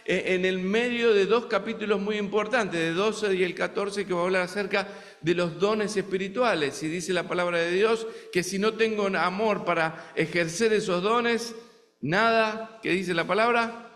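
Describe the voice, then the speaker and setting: An Argentine man with a fairly high voice preaching to a congregation, talking conversationally and checking in with his listeners through rhetorical questions. His voice carries a long reverb from the church hall around him. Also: normal speed, a bit bright. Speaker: guest preacher. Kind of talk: sermon. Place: church sanctuary in the United States.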